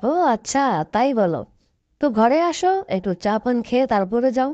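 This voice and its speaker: Young fox wife for a cartoon, female performer: chatty, coaxing, high voice, dry sound. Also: lively intonation, normal speed, mellow, dry recording, muffled recording, close to an everyday voice. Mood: hospitable. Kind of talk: cartoon story acting.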